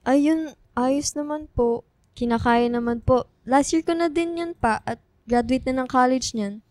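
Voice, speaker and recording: High-voiced sweet Filipino daughter. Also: female voice, a little slow, darkish tone, no room sound. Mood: sweet, cheerful. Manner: polite, playfully pleading. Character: sweet, playful college-age daughter fond of her father. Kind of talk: Filipino radio drama acting.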